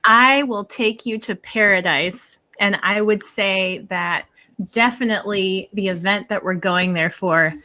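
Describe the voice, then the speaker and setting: Woman, medium pitch, deadpan, playful dating game contestant. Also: a little slow, low-quality recording.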